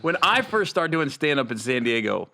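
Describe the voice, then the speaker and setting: Male host, forties, teasing banter, slow with wide pitch swings, noisy recording. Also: very animated, creaky, sharp tone. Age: 40s. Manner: laughs often, teasing banter. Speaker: podcast host. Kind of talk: casual interview chat.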